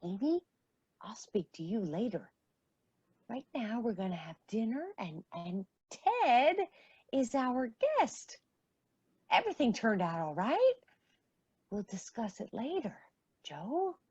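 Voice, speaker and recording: Radio play mother: stern peacekeeper, slow, expressive, slightly muffled sound. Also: on the high side, husky, no echo, exaggerated voice. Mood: firm. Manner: measured, firm, admonishing. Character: Marmee, firm mother keeping peace among teenage daughters.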